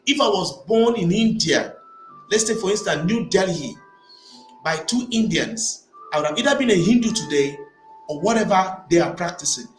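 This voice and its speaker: Emphatic high-voiced man in an echoey room. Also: animated, normal speed, soft background music. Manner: emphatic, repeats phrases while building arguments. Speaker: vlogger. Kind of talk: opinionated commentary to camera. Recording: echoey room with faint ambient noise.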